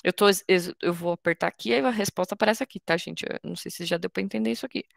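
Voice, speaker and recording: A woman's voice: lowish voice, quick pace, vocal fry, dry recording, clean studio sound. A woman in her twenties from Brazil, teaching an online coding workshop in a patient, informal way, explaining step by step with frequent restarts. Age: 20s. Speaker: workshop instructor.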